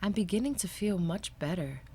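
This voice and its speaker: A woman doing a gentle old zookeeper man. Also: sits high, animated, normal speed, slight background noise, pitched above the performer's own voice. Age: older. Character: kindly elderly male zookeeper, gentle.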